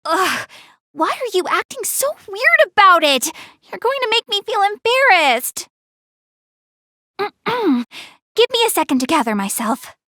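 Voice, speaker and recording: Casting: proud young anime game goddess, easily embarrassed, high voice, swooping intonation. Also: female voice, unhurried, pauses often, no echo, clean studio sound, heavily acted. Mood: flustered, embarrassed. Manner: expressive, easily embarrassed, hesitant then insistent. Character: flustered, proud young goddess girl practicing voice acting. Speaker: video game character. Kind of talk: English anime game voice acting.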